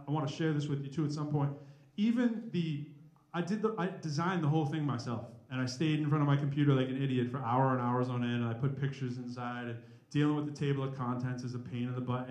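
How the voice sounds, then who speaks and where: An energetic man in his forties speaking to a live audience as a rapper and professor, in a conversational, informal way. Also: mid-range voice. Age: 40s. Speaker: rapper and mathematics professor giving a talk. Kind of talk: informal live talk with spoken-word rap. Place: indoor live event at a college. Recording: roomy, echoing live event recording.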